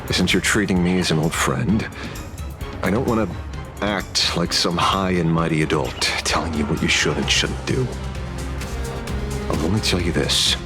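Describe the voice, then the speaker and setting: An actor playing a grave, protective older man in a video game, speaking in a measured, stern way. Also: low-pitched. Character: grave, protective older man, a weary mentor warning youngsters. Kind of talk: dramatic video game voice acting. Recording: dramatic game score behind the voice.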